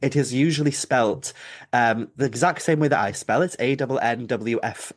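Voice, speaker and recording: A man's voice: medium pitch, normal speed. Patient Welsh-accented man explaining. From Wales. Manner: patient, explanatory, frequent ums. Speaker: guest author. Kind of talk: podcast interview.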